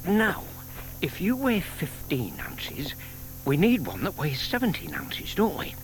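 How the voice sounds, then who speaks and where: An actor playing a shy, kindly older British gentleman with a secret scheme, polite and given to muttering, in an audio drama. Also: a little high, huge pitch swings, unhurried, vocal fry, exaggerated voice, low-quality recording. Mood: absorbed, careful. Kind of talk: audio theatre acting. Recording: faint noise, 1990s tape dramatisation.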